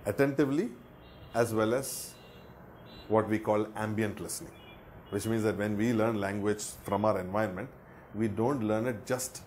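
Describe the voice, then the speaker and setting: A man explains a learning method, stressing points by repeating his words. His voice sits on the low side, and faint noise hangs behind him. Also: darkish tone. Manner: earnest, repeats words for emphasis. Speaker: education presenter. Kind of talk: explanatory talk.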